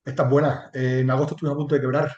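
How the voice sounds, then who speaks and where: Mellow Spanish man in an echoey room. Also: mid-range voice, level tone, rapid-fire, highs cut off. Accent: Spanish from Spain. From Extremadura, Spain. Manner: colloquial and candid, with mild swearing. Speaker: interview guest, chatbot marketing entrepreneur. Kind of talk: casual interview chat.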